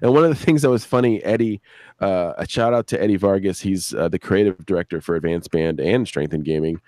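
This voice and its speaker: A man's voice: sits low, fairly quick, darkish tone, no room sound. A man, a show creator and guest, chatting casually on a live stream, telling rambling, profane anecdotes with quoted dialogue.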